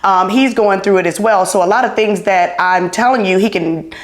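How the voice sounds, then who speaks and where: A middle-aged woman hosting a podcast, excited and loud, repeating herself as she chats without her mic on. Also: mid-range voice, normal speed. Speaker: podcast host. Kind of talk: casual podcast chat. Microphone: not wearing her clip-on mic. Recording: roomy echo.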